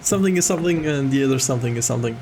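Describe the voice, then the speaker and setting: A man's voice: medium pitch, rapid-fire, a little mellow, soft background music, poor audio. A man chatting casually as a game stream host, rambling and hesitant, prone to giggling. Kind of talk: casual livestream chat.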